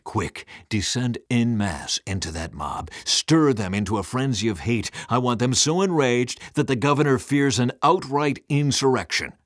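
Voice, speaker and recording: A man's voice: medium pitch, expressive, moderate pace, hardly any pauses, no room sound, studio quality, close to an everyday voice. A man voicing a proud, vengeful devil who commands demons in grandiose, bellowed speeches for a dramatic story. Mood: urgent, commanding.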